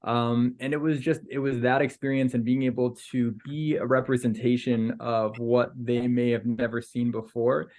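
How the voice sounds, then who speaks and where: A man, a family physician, speaking on a panel in a relaxed, earnest storytelling way over a video call. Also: medium pitch, normal speed, hardly any pauses. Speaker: family physician, program director. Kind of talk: casual panel talk.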